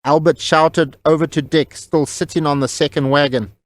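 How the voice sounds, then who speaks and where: A man's voice: fairly high pitch, fairly even intonation, normal speed, no echo. Man narrating a story, very dry sound. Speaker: audiobook narrator.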